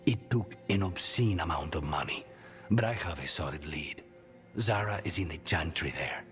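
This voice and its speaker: Vengeful fantasy assassin, deep, restrained and dry, faint music behind. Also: male voice, lively intonation, moderate pace, a bit bright. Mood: cool resolve. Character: cool, vengeful male assassin, controlled and dry. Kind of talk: cinematic fantasy game dialogue.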